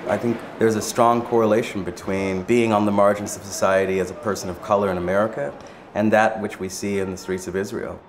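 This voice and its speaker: Man, low voice, measured, reflective, articulate, documentary narrator, faint background noise. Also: fairly even intonation. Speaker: visual artist presenting the film.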